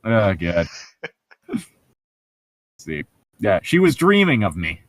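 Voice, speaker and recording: A man's voice: on the low side, huge pitch swings, drawn-out words, fairly bright tone, no echo. A man doing casual, mocking read-along commentary as a show host, jokey and profane as he rambles. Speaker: host of a comedic book-reading show.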